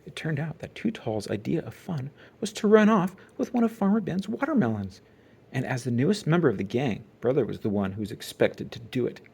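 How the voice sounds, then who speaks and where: Children's read-aloud narrator, a parent, expressive delivery with animated, medium pitch. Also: fairly quick, creaky, slightly rough. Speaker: storybook reader, parent.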